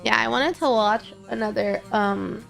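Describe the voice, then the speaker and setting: A woman's voice: a little high, a little slow, vocal fry, a bit bright, soft background music. A young woman streamer from Texas, sounding congested and nasal, rambling casually to her live chat and correcting herself as she goes. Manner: rambling, self-correcting, chatty.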